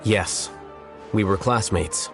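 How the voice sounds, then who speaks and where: A man playing an aloof, blunt young scholar in a video game, speaking in a measured, deadpan way. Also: fairly low pitch. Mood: cool, explanatory. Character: aloof, blunt young male scholar and acting grand sage. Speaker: game character. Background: prominent background music.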